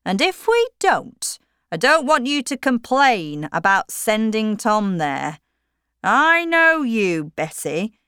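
A woman voicing a stubborn middle-aged miller, speaking louder and more forcefully than her narration. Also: fairly high pitch, big pitch swings, takes it slowly, clear, resonant tone, no echo, clean studio sound, pitched above the performer's own voice, heavily acted. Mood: scolding. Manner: forceful, emphatic, raised voice.

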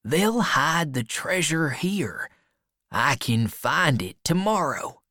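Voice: medium pitch, sing-song, takes it slowly, sharp tone, dry recording, clean studio sound, pitched above the performer's own voice, exaggerated voice. A reader voicing an earnest, anxious boy in a storybook, with urgent and pleading delivery. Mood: excited thinking.

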